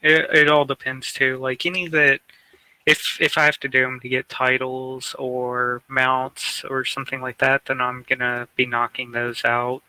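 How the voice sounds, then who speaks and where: Very bright, halting man. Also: mid-range voice, smooth, no echo, low-quality recording. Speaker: podcast co-host. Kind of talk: casual gaming chat.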